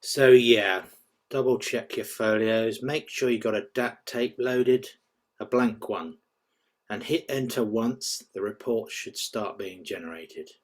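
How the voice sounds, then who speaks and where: A man acts a world-weary, grumpy hotel clerk in his thirties for a drama reading. The voice is fairly low, laconic and offhand. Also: slow pace. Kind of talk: script table-read acting.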